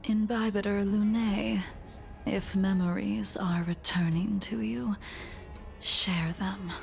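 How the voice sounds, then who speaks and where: A woman voicing a serene, world-weary ancient swordswoman in a video game, soft and breathy, with a measured, formal, wistful manner. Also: mid-range voice, fairly even intonation, soft background music. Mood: gently amused. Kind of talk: video game character acting.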